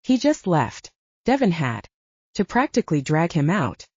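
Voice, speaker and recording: Woman doing a caring sister at a bedside, soothing, coaxing, lively pitch, mellow, audiobook. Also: a little slow, husky, dry recording, muffled recording, full character voice. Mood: gentle, relieved.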